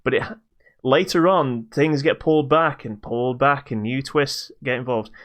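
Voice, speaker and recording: A man co-hosting a podcast, casually reviewing a video game in a halting but emphatic way. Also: medium pitch, fairly bright tone. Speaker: podcast co-host.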